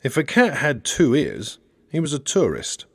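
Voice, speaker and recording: A man reading a satirical novel as an audiobook narrator, in a measured storytelling way with expressive emphasis. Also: mid-range voice, breathy edge, no echo, clean studio sound.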